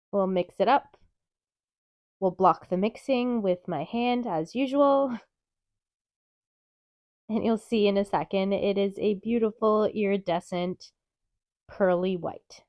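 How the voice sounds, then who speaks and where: A woman with a stuffy, nasal voice from a cold, calmly explaining steps in a craft tutorial. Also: medium pitch, no echo, highs cut off. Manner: calm, methodical, chatty explaining. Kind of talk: step-by-step craft tutorial narration.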